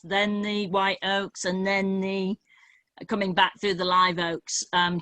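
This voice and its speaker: A woman's voice: mid-range voice, pushed and bright, dry recording. A woman who is not from Texas, talking casually as an audience member about her own land, chatty and full of restarts. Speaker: property owner, audience member. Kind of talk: casual discussion.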